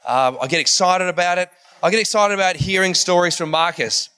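A man's voice: sits high, brisk, sharp tone, clean tone. A man hosting an event, introducing a speaker in a loose, rambling way. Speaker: event host.

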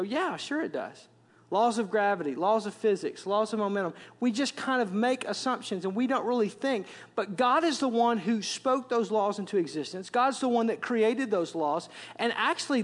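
A man with a Wisconsin accent preaching a sermon as senior pastor, conversational, with joking asides and repeated words. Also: high-pitched. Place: large church auditorium. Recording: long hall reverb.

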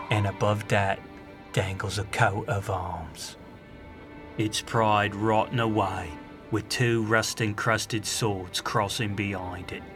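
A man announcing and narrating an audio drama in a scripted, theatrical storytelling style. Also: on the low side. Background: music.

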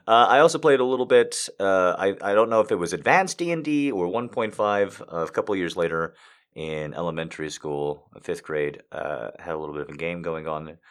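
Brief: male voice actor chatting with viewers, very low pitch, lively up-and-down delivery, self-deprecating. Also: fairly quick, smooth. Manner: rambling and self-deprecating, with many restarts. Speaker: voice actor answering viewer questions. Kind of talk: casual chat.

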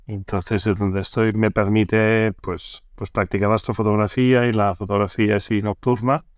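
A man with a fairly low voice and a Catalan accent talks as a podcast guest. He chats casually, often tacking a little checking question onto his phrases. The recording is close and dry. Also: level tone, normal speed, no room sound. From Barcelona, Catalonia. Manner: frequently ends phrases with checking tags. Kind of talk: casual interview chat.

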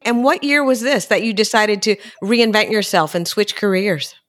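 A woman's voice: medium pitch, moderate pace. A woman hosting a property podcast, reading formal introductions and asking interview questions. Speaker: podcast host.

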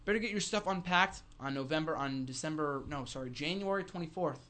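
A man's voice: fairly high pitch, a little fast, bright, forceful tone. A man in his early twenties co-hosting a wrestling podcast, chatting casually and enthusiastically and repeating phrases for emphasis. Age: early 20s. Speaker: co-host of a pro wrestling fan podcast. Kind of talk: casual sports fan chat.

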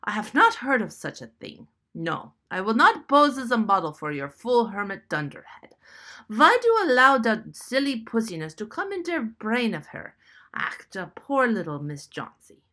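A woman voicing a fierce, gruff old man painter, shouting his scorn. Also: a little high, big pitch swings, normal speed, pitched above the performer's own voice, exaggerated voice. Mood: scornful.

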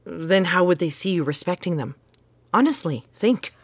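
An earnest mother devoted to tradition in naturalistic audio drama. Her voice sits fairly low for a woman, and she instructs with firm insistence. The sound is dry and close. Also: expressive, moderate pace, no room sound. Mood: exasperated.

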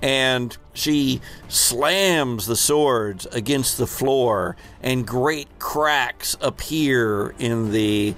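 Slow-paced man narrating as game master. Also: mid-range voice, fairly bright tone. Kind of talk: improvised tabletop game narration.

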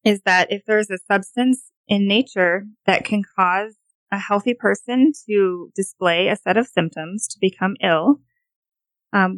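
A woman with a Montana accent gives steady, clear explanations as a guest, with a few fillers. Her pitch is mid-range. The recording is dry and close, with no room sound. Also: no room sound, low-quality recording. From Montana, USA. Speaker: homeopath guest. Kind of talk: explanatory interview answers.

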